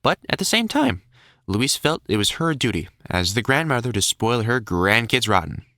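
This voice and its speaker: Male narrator for illustrated story reading; lively, swinging intonation, measured delivery, dry recording. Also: medium pitch, no room sound.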